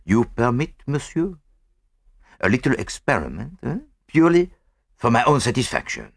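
A man playing a courteous, shrewd gentleman detective in a storybook reading, polite and measured. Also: mid-range voice, sing-song, creaky, no room sound, studio quality, pitched above the performer's own voice.

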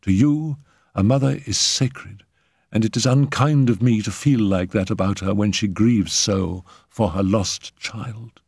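A man's voice: lowish voice, gravelly, darkish tone, husky, dry recording, clean studio sound, pitched above the performer's own voice, full character voice. A man voicing an exhausted, anxious woman who pleads with agitated, emphatic repetition in a dramatic story reading. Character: anxious, exhausted, high-strung Frenchwoman, a spirit medium.

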